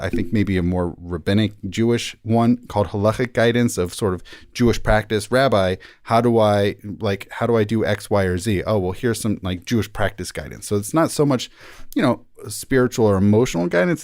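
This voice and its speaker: A man giving measured, thoughtful interview answers, carefully qualifying and explaining his points as a rabbi guest. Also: lowish voice, a little mellow, no echo, clean studio sound. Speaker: rabbi and senior living chaplain, podcast guest.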